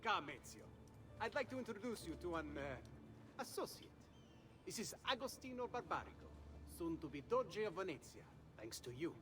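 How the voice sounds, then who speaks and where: A jovial, scheming thief boss. His voice is fairly high and swoops playfully. Game music plays behind him. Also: sing-song, moderate pace.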